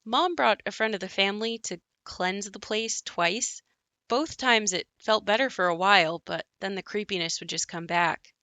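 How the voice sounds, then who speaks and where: A woman's voice: medium pitch, moderate pace, sharp tone, no room sound. A woman acting in an audio play as an uneasy daughter who recalls growing up in a haunted house. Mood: uneasy, reflective. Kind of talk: audio play monologue and dialogue.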